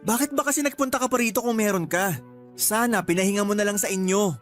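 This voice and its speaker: A man's voice: high voice, quick pace, a bit bright, soft background music. A man playing a sulky, complaining young boyfriend in a radio drama, whiny and exasperated in manner. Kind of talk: Tagalog radio drama acting.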